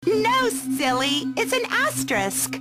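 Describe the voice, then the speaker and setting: Very high, squeaky cartoon little girl. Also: big pitch swings, takes it slowly, heavily acted. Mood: chirpy, explanatory. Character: chirpy cartoon little girl, sweetly matter-of-fact. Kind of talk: animated cartoon dialogue. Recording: loud children's music underneath.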